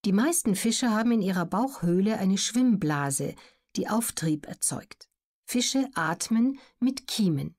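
Measured woman narrating an educational audiobook. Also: medium pitch, expressive, a little mellow.

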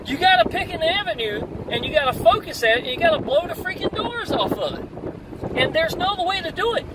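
A man's voice: extremely high pitch, fairly quick. Man preaching from a convertible. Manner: energetic, preachy, repeats "y'all". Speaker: online marketing coach. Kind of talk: motivational vlog while driving. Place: open-top convertible car outdoors. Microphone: phone camera at arm's length. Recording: wind and road noise, mic at a distance.

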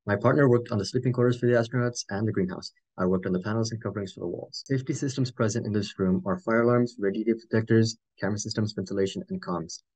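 A man's voice: fairly low pitch, fast talker, hardly any pauses, a little mellow, husky, low-quality recording. A teenage boy from Texas reading his part of a school presentation over a video call, hurrying through the script. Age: teens. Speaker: student presenter.

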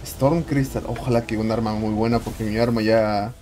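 A man's voice: lowish voice, steady tone, moderate pace, mellow. A man talking casually and playfully with slang while playing a game with others. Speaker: gamer in a group play session. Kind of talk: casual chat while gaming. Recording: faintly noisy voice chat recording.

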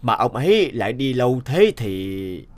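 A man's voice: medium pitch, sing-song, a little slow. A man playing a kindly, worried older man in a cartoon, earnest and a little hesitant as he seeks help. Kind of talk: animated cartoon dialogue.

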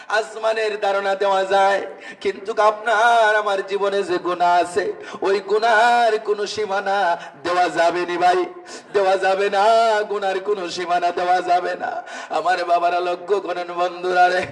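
A man delivers an impassioned Islamic sermon, half-singing his storytelling with repeated phrases and drawn-out calls. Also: sits high, a bit bright, distant mic. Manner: chanting, repetitive, exhorting with drawn-out calls. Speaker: Islamic preacher. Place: religious gathering, amplified from a distance.

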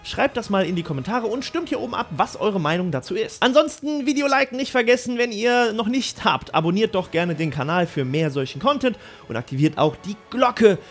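A man hosting a video, delivering energetic scripted commentary and addressing viewers directly and enthusiastically. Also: high-pitched, fairly quick. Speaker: YouTube host.

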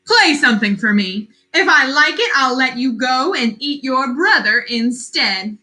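A woman voicing a menacing male goat-sucking monster who lives under a bridge in a storybook, drawing out his threats slowly. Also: high-pitched, animated, bright, forceful tone, exaggerated voice.

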